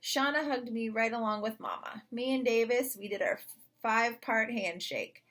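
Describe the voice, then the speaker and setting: A woman narrating a children's story in an expressive read-aloud style. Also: on the high side, moderate pace. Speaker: storybook reader.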